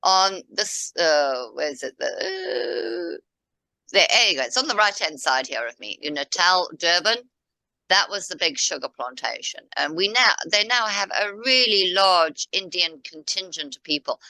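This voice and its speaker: A woman's voice: lowish voice, big pitch swings, unhurried, creaky, sharp tone, no room sound. A woman from Africa, chatting casually as co-host and rambling through history while pointing at a map. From South Africa. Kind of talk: casual discussion.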